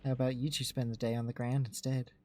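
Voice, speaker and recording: A man's voice: mid-range voice, flat delivery, fast, darkish tone, lightly acted. A man voicing a taunting, smug woman villain in a visual novel, mock-sweet and condescending, in a reading of the game. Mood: mock-apologetic. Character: Kat, taunting, smug woman villain running a deadly show.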